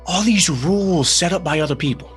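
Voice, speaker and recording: An actor playing an earnest, weary recovering gambling addict in a table read, speaking in a halting, confessional way. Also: male voice, a little high, faint music behind, natural voice. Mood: earnest, indignant. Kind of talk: screenplay table read acting.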